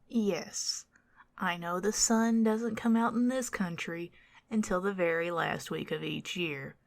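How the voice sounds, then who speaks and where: A woman voicing a doting, wry, centuries-old female vampire in a whispered ASMR roleplay, breathy and formal in her old-fashioned phrasing. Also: on the low side, unhurried. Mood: scolding, worried.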